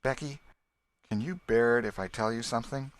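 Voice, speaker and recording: A man reading an audiobook, voicing a brave, tender young boy in a gentle, reassuring, earnest way. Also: medium pitch, fairly quick, dry recording, pitched above the performer's own voice, natural voice. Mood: grave. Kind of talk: audiobook character voice.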